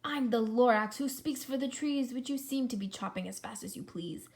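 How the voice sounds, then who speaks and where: A woman doing a grumpy, croaky little forest guardian. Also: on the high side, normal speed, darkish tone. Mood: indignant. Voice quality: croaky, coughing delivery.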